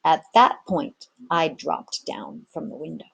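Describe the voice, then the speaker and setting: A woman's voice: sits low, very animated, measured pace, gravelly, breathy edge. A woman narrating an adventure story expressively, like a teacher reading aloud to students.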